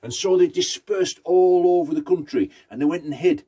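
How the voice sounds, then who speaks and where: A man's voice: fairly high pitch, normal speed, dark tone, slightly rough. A man telling a folktale conversationally, in short phrases with rhythmic repetition. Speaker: Romani storyteller. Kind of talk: oral folktale storytelling.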